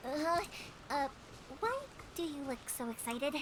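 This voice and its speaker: A woman's voice: high-pitched, animated, a little slow, noisy background. A woman playing a chatty, cheerful young fireworks maker in an anime game, talkative and rambling. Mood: apologetic, eager. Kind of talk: English anime game voice acting. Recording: loud music bed behind the voice.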